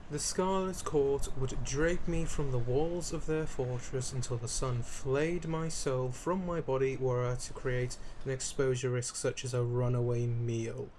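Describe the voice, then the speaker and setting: A man's voice: medium pitch, steady tone, a little slow, few pauses, a little mellow, a little hiss or hum, lightly acted. A man playing a centuries-old vampire lord in a scripted roleplay addressed to the listener, haughty and dramatic, wry and easily flustered. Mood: incredulous.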